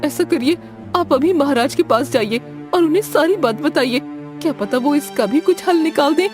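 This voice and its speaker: A worried, practical wife in a cartoon tale, voiced by a woman. She presses her point urgently in a raised, lively voice. Music plays underneath. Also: high-pitched, moderate pace, voice pushed up. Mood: urging. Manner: urgent, persuasive. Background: background music.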